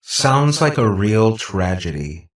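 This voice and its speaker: A man's voice: on the low side, very animated, slow pace, roomy sound, far from the mic. A man voicing an innocent-seeming but coolly calculating robot girl in an audiobook, with a probing, scornful manner. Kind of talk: audiobook character dialogue.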